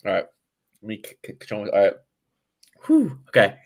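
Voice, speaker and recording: A man's voice: fairly low pitch, huge pitch swings, normal speed, gravelly, a little mellow. Casting: young male co-host, easygoing, jokey, rambles. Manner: joking, rambling, laughs a lot. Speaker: podcast co-host. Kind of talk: casual chat.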